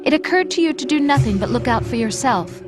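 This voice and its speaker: A woman's voice: high voice, animated, fast. An actress playing a hurt young woman who speaks to her lover in a reproachful, cutting way, in a video game cutscene. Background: game music.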